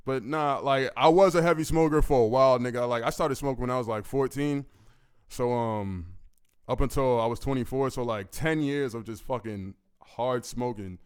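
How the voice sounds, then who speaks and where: Man, 20s, deep gravelly commanding voice, resonant and mid-pitched, relaxed profane Q&A delivery. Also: moderate pace. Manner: laid-back, profane, keeps asking "you know what I mean". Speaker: musician answering fan questions. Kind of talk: casual solo Q&A.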